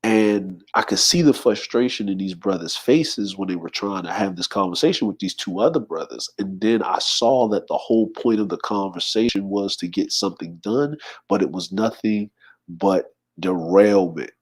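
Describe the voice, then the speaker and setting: A man of forty talks easily to his livestream audience in a fairly low voice. He reads out comments, greets viewers by name and drifts into his own thoughts, unhurried and relaxed. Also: breathy edge. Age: 40s. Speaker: livestream host. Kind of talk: casual livestream chat.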